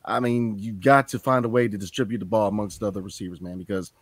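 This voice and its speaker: A man's voice: on the low side, fairly even intonation, quick pace, no room sound. A man co-hosting a casual sports chat, talking in a loose, conversational way with filler phrases. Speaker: podcast co-host.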